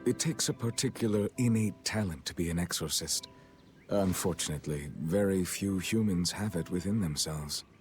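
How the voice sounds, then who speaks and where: A man voicing a stoic, stern warrior mentor in a video game, speaking in a measured, formal way. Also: deep voice, soft background music, natural voice. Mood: grave.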